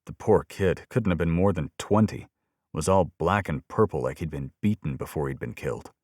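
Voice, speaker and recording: A man's voice: low voice, moderate pace, darkish tone, no room sound, clean studio sound. A man reading scripted first-person crime fiction as an audiobook narrator, telling it as a burned-out detective.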